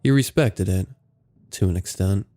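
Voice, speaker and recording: A man narrating submitted true scary stories in a steady, low-key reading. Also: moderate pace. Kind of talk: scripted horror story narration.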